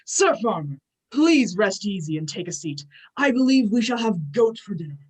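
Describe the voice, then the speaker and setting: A woman's voice: medium pitch, sing-song, moderate pace, a little mellow, husky. A woman playing a courteous but short-tempered male god with formal, grandiose speech in a play reading. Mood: gracious.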